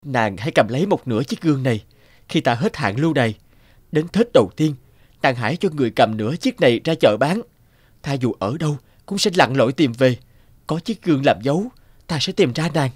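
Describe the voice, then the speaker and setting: An actor playing an upright, loving young court official and husband in a cartoon folk tale, speaking earnestly and formally. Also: male voice, medium pitch, lively intonation, brisk, breathy edge, no echo. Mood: sorrowful resolve. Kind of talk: animated folk-tale dialogue.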